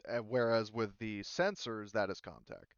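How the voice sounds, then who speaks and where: A man's voice: on the low side, moderate pace, smooth, dry recording, muffled recording. A man speaking casually as a game master, explaining rules and settings in a hesitant, rambling, easygoing way.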